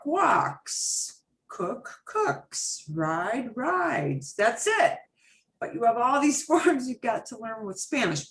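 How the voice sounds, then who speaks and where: A woman's voice: medium pitch, fairly bright tone. A woman teaching a beginner Spanish class over a video call, chatty and patient, mixing Spanish phrases into her English explanations.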